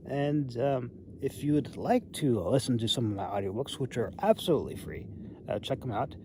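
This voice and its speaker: A man in his thirties talks casually into a phone held at arm's length outdoors. He rambles through a review with a wry, hesitant manner and drifts off mid-thought. There is some light noise around his mid-pitched voice. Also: moderate pace. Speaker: amateur knife reviewer. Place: outdoors in a snowy open river valley.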